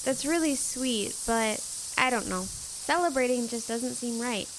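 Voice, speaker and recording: A young female performer playing a sincere, reluctant preteen girl who is gentle with friends in an audio drama. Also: on the high side, expressive, unhurried, noisy background. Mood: sad, earnest. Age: child. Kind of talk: youth audio drama acting.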